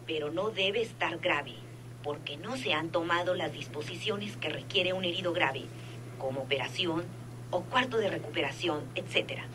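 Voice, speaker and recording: An actress in a radio drama playing a dutiful head nurse informant, precise, businesslike and deferential, on a noisy old recording. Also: female voice, lowish voice, moderate pace, close to an everyday voice. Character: dutiful female head nurse secretly informing a crime boss. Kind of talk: radionovela acting.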